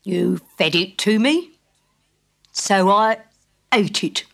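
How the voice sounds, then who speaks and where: A woman voicing a calm, matter-of-fact male piggy bank that talks in a slow, unflustered way and is sometimes cross. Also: mid-range voice, gravelly.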